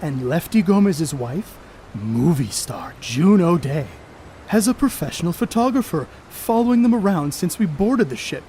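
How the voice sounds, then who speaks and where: A man's voice: fairly high pitch, expressive, moderate pace, mellow, slight background noise, lightly acted. A man playing a boastful, crude star ballplayer in a 1930s stage play, cocky and needling in folksy slang. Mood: sly, needling. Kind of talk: amateur stage play acting, 1930s period dialogue.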